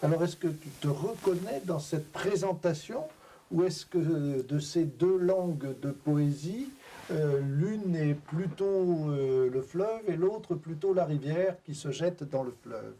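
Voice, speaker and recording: A man's voice: fairly high pitch, unhurried, mellow. A middle-aged man moderating a live round-table, speaking hesitantly with many fillers.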